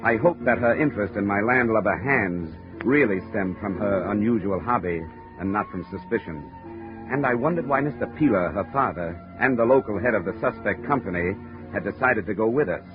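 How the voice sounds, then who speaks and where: An actor playing a wry, hard-boiled investigator with an American accent, in dry 1950s radio detective style. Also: male voice, lowish voice, lightly acted. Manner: dry, wisecracking. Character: wry, hard-boiled male insurance investigator undercover as sailor. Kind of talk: 1950s radio detective acting and first-person narration. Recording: dull old radio recording with music.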